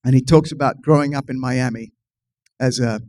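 A man's voice: medium pitch, fairly even intonation, moderate pace, a little mellow. A man preaching a sermon to his congregation, pushing key phrases up with passionate, surging emphasis. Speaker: pastor.

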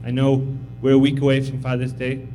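A man's voice: medium pitch, monotone, brisk, mellow, slight background noise, poor audio. A man in his thirties who grew up in Tanzania, giving an informal, humble sermon as a visiting missionary, encouraging and full of fillers. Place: church sanctuary.

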